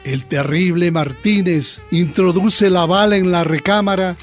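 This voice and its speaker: A man's voice: sits high, measured pace, music in the background. A man giving scripted, declamatory literary narration for a radio drama.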